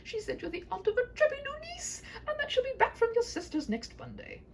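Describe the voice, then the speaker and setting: A woman's voice: very high voice, sing-song, brisk, gravelly, a little hiss or hum, voice pushed up, full character voice. A woman voicing a huffy, absent-minded but doting old king and father in a humorous fairy-tale reading, effusive and blustering. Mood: tender.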